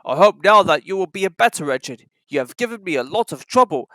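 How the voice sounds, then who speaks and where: A portly male railway controller from a children's storybook speaks in a firm, formal, measured way. A storyteller voices him in a close, dry reading. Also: mid-range voice, lively intonation, a bit bright, no echo, lightly acted, rough recording. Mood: stern.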